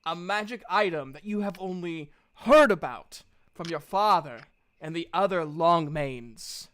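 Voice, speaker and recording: A game master improvising narration for a tabletop game in an informal, halting manner. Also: medium pitch, lively intonation, a bit bright, smooth.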